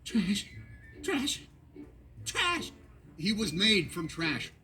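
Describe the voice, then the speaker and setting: An actor playing a loyal, anxious male cowboy toy who leads the other toys, talking earnestly and quickly in animated film dialogue. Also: sits high, a little hiss or hum, heavily acted. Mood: flustered. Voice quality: earnest, fast-talking, emphatic.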